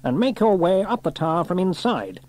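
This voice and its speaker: Guy doing a bossy but hearty fire officer from a kids story, high pitched, crisp orders, really animated. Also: normal speed. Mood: commanding. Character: hearty, commanding male fire station officer, approving.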